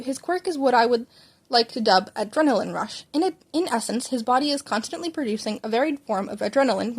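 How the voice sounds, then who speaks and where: A woman reads as a keen, rambling doctor in an amateur storybook narration. The voice is fairly high, explanatory and a little shy. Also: slightly rough.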